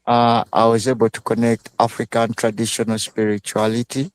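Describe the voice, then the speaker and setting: A man from Uganda who speaks as a guest in a casual live interview. He talks slowly and haltingly, with many fillers. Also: medium pitch, monotone, clear, resonant tone, no echo.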